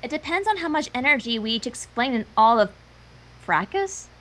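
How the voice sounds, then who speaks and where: A woman with a fairly high, very expressive voice reads character lines and chats casually in between. She stumbles on words at times and carries on. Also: moderate pace. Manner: animated, stumbles over words. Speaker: fan fiction co-reader. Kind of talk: character reading mixed with casual banter.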